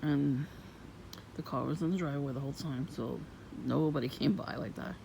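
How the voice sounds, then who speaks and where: A woman with a low voice, vlogging in a soft-spoken, rambling and suspicious way outdoors near her home. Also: normal speed, vocal fry. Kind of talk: casual rambling monologue. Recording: noisy outdoor ambience.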